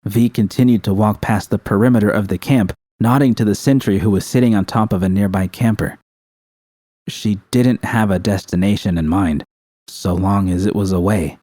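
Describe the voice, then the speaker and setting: Male audiobook narrator for fiction. Lower register, dark soft tone, dry sound. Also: fairly even intonation, normal speed, dry recording. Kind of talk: scripted narration.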